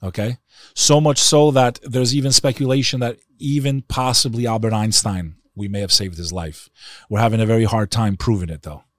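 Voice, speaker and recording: A man with a very deep voice and a New York accent, speaking as a podcast guest in passionate, emphatic conversation. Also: fairly even intonation, moderate pace, no echo, clean studio sound. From United States.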